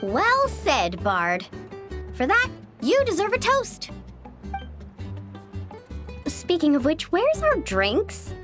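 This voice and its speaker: A woman's voice: very high voice, wildly swooping pitch, slow pace, pauses often, music in the background, heavily acted. A woman voicing an upbeat, sociable young woman in a video game, breezy and chatty. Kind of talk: animated video game voice acting.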